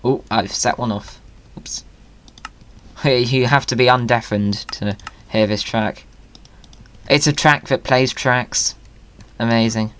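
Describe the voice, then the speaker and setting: A gaming creator talks in a medium-pitched voice. The delivery is playful and excitable, running chattily through commentary as if joking with viewers. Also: takes it slowly, pauses often. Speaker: video creator hosting a gaming video.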